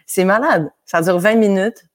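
A woman's voice: mid-range voice, moderate pace. A woman with a Québécois French accent, chatting casually as an interview guest, laughing often and restarting her sentences. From Quebec. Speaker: guest pianist.

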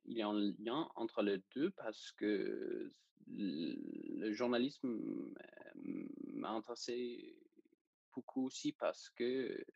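A young man from Denmark speaks French with a Danish accent, slowly and haltingly, searching for his words as an interview guest. The recording is dry and a little dull. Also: mid-range voice, darkish tone, no echo. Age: young adult. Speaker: interview guest, journalism student. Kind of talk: casual interview.

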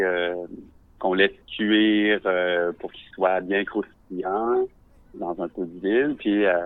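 Low-voiced Québécois man on a phone-like line. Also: dry recording. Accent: Québécois French. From Quebec. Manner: reflective, measured, searching for words. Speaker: visual artist, podcast guest. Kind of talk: personal storytelling for a podcast. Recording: narrow phone-like sound, dry.

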